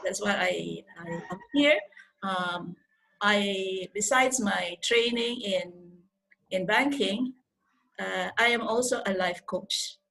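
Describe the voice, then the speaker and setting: An older woman from the Philippines with a Filipino accent, giving an earnest, deliberate motivational talk as a mentor on a video call. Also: medium pitch, vocal fry, a bit bright, low-quality recording. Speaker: life coach and programme mentor. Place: indoors on a group video call. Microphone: video call microphone.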